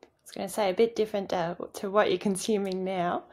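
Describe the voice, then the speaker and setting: A woman's voice: mid-range voice, fairly even intonation, quick pace, a little mellow. A woman speaking as a podcast co-host, introducing and questioning a guest.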